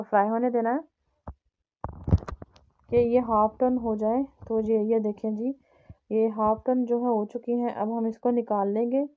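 Female home cooking host. Fairly even intonation, repetitive instructional delivery, muffled lo-fi sound. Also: fairly high pitch. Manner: explains step by step, repeating instructions. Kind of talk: instructional recipe narration. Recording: dull, lo-fi home recording.

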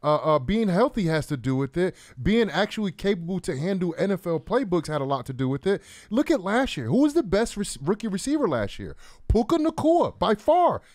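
Man in his thirties, slightly high voice, dry studio sound, close mic, casual sports analysis, conversational. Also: sing-song, brisk, a little mellow, no room sound. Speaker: former pro football player, co-host. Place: small podcast studio. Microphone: close broadcast mic on boom arm.

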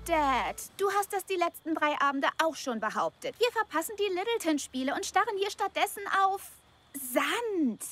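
A woman voicing a spirited, determined little cartoon girl, youthful, expressive and emphatic, in a dubbed children's show. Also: extremely high pitch, normal speed, heavily acted. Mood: disappointed. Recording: loud music behind the voice.

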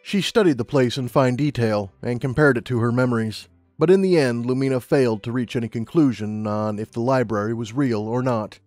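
A man's voice: medium pitch, a little fast, hardly any pauses, a little mellow, dry recording, faint music behind. A man reading a story as a scripted audiobook narration.

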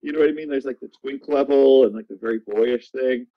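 A man's voice: medium pitch, brisk, no room sound. A man in his thirties chatting casually as an interview guest over a video call, with slightly dull sound. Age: 30s. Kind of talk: casual chat. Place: small plain room indoors, video call.

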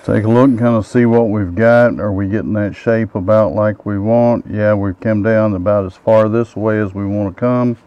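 A middle-aged man with a calm, plain-spoken manner, teaching woodturning step by step in his home workshop. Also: fairly low pitch, normal speed, hardly any pauses, lo-fi sound. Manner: calm, plain-spoken, thinks aloud while working. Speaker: woodturning instructor. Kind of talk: relaxed step-by-step workshop narration. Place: home woodworking shop with a running lathe. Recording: workshop with clear lathe and tool noise.

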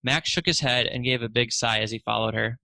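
A man reads a children's storybook aloud in a bright, forward voice. His delivery is expressive, lifting for the characters' lines. The sound is dry, with very little room around it. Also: medium pitch, a little fast, creaky, dry recording. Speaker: narrator reading a children's story.

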